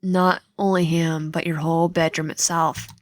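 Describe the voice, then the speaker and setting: A woman's voice: low-pitched, little pitch movement, normal speed, no room sound. A woman reading a visual novel aloud with casual asides, stumbling over words now and then. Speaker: video game streamer. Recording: music behind the voice. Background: music.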